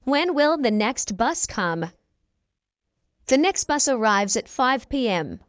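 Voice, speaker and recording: Slow, high, lively woman teacher. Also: no room sound. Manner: reads short phrases slowly and clearly, repeating each exchange. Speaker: English teacher. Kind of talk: reading practice dialogues for learners.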